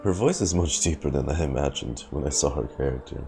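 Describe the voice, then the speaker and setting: A man's voice: extremely low pitch, animated, brisk, warm tone. A man streaming a game, reacting casually and musing aloud to himself, with faint game music behind him. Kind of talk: casual live playthrough reaction.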